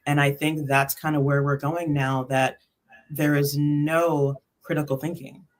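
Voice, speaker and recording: A woman's voice: low voice, level tone, measured pace. A woman chatting casually on a panel, speaking emphatically and acting out her thoughts as quoted dialogue. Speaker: panelist on a spiritual talk livestream.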